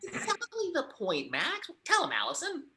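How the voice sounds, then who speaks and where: A flippant, exasperated woman, as a man plays her in a script read aloud. The delivery is argumentative and offhand, with a bright tone and swooping pitch. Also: huge pitch swings, moderate pace, creaky, a little hiss or hum, exaggerated voice. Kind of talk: at-home script table read.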